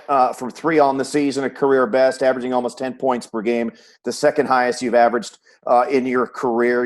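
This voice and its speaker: An American man working as a sports broadcaster, hosting an interview show with introductions and questions. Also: medium pitch.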